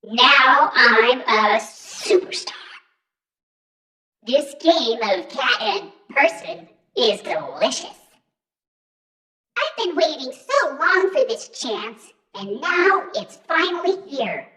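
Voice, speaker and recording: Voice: high voice, sing-song, takes it slowly, sharp tone, echoey room, full character voice. An actor voicing a vain, menacing animatronic rock star in a video game, haughty, showy and theatrical. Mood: gloating menace.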